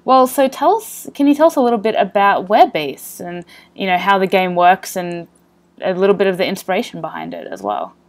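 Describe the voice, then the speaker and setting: A woman's voice: medium pitch, big pitch swings, fairly quick. A woman hosting a podcast interview, asking questions in a friendly, upbeat way. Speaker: podcast host.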